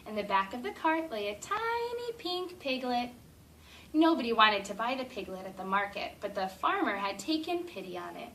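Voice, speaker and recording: A woman teacher reading a picture book aloud to a class of children in an expressive, drawn-out storytelling way, stopping to ask eager questions. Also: fairly high pitch. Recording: distant classroom camera with faint noise.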